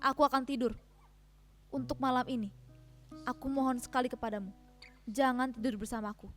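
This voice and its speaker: An actress playing a hurt, proud wife in a dramatic reading, with emotional, reproachful theatrical delivery. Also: female voice, sits high, fairly even intonation, a little fast, close to an everyday voice. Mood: bitter resignation. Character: hurt, proud village wife in a failing marriage. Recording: music behind the voice.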